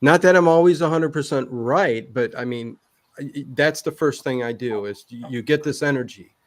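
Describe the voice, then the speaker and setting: A man's voice: medium pitch, moderate pace, no echo. A man chatting casually as an interview guest, rambling and hesitant, often repeating words as he works out a thought. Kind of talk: casual personal chat.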